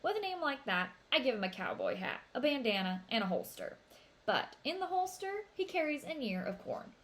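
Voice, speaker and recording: A woman with a fairly high voice reads a children's novel aloud. Her delivery is expressive storytelling, suited to young listeners. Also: moderate pace. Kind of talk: expressive read-aloud narration.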